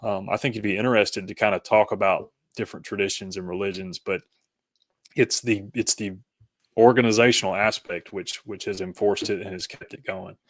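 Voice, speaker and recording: A man speaking as an interview guest, reflective and conversational, thinking aloud in halting, thoughtful phrases. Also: fairly low pitch, fairly even intonation, no echo.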